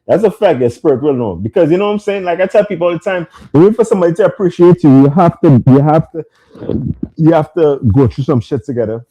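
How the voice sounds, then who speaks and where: Male co-host, animated and emphatic, warm low tone, slangy casual talk. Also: fast, poor audio. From immigrant to the US. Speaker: podcast co-host. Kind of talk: casual podcast chat.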